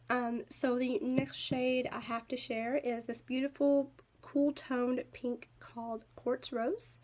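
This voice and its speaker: A woman's voice: a little high, a little mellow. A young woman with a nasal, stuffy voice from a head cold, chatting in a casual, upbeat way while she shows nail polish swatches. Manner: chatty and upbeat, lots of fillers. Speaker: nail art vlogger. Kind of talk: casual product review and tutorial.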